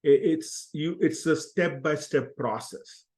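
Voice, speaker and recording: A man speaking as an interview guest, explaining self-help ideas in an earnest, deliberate way and repeating key phrases. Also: on the high side, a little mellow.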